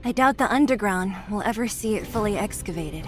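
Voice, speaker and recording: A woman's voice: mid-range voice, lively intonation, a little fast, music in the background, lightly acted. An actress playing a fierce, impatient young female fighter in a video game, curt, defiant and scornful. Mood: dry.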